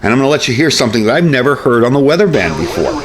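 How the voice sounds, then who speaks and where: A man's voice: medium pitch, very animated, racing, crowd noise. A man giving a casual, chatty product review with informal, folksy phrasing. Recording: clear background noise.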